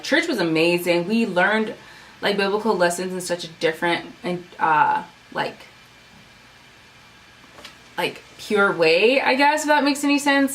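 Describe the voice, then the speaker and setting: A woman's voice: on the low side, a bit bright. A young woman from the United States with a Sudanese family, chatting casually on her vlog, rambling with many fillers. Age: young adult. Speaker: YouTuber. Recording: mic at a distance, faint room noise.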